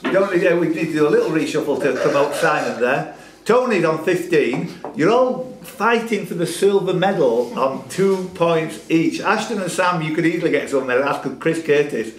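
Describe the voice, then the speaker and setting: A man's voice: on the high side, expressive, normal speed. A man running a pub quiz, giving scores and joking with the players in a chatty way. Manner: chatty, jokey, calls on players. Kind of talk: banter while running a quiz. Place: pub function room. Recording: roomy pub room sound.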